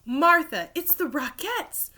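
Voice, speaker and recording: A woman's voice: high voice, sing-song, slow, bright, forceful tone, a little hiss or hum, pitched above the performer's own voice, heavily acted. A woman voicing an exasperated, earnest boy in a children's storybook, exclaiming and pleading. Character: exasperated boy, earnest owner of a runaway cow.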